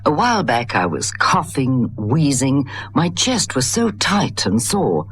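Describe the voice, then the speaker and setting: Scripted ad testimonial, animated intonation, medium pitch, light music behind. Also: a little slow.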